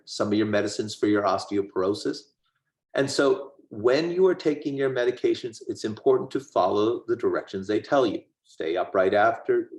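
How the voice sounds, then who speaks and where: Low-voiced man giving a medical talk. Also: echoey room. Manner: plain explanatory, conversational. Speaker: gastroenterologist lecturer. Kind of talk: medical lecture.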